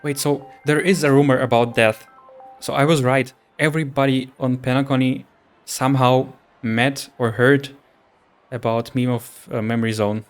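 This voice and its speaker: Guy streamer doing casual game reactions, blunt asides, normal pitch, faint music behind. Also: fairly even intonation, normal speed. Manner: informal, questioning, blunt asides. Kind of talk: casual gameplay reaction commentary.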